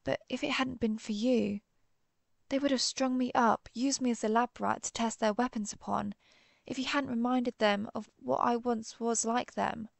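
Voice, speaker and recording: A woman playing an earnest, conflicted vampire hunter who is herself a vampire, speaking formally with hesitant pauses in a dramatic audio roleplay. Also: on the high side, steady tone, creaky, no room sound. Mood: grave, resolute. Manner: formal, old-fashioned wording, hesitant pauses. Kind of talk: dramatic audio roleplay, one-sided dialogue.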